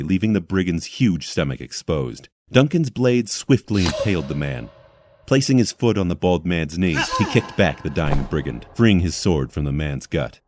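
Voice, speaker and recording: Very deep-voiced male fantasy narrator. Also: noisy background. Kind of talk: scripted fantasy story narration.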